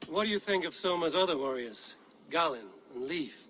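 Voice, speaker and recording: Stoic, higher-voiced Viking warrior. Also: male voice, lively intonation, moderate pace, sharp tone, slight background noise, rough recording. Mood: curious, calm. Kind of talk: video game character acting.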